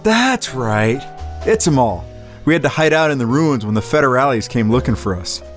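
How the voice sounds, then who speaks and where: A man's voice: medium pitch, animated, normal speed, soft background music, natural voice. A man playing a cocky, streetwise tough guy in a noir audio drama, slangy and matter-of-fact. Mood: relaxed, nostalgic. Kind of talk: first-person noir audio drama narration.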